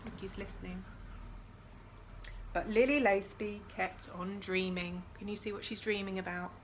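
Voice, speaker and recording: A woman reading a picture book aloud to young children in an expressive storytelling style, with chatty questions to the listeners. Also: medium pitch, normal speed, a little hiss or hum, rough recording. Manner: addresses young listeners with questions and dramatised sound words.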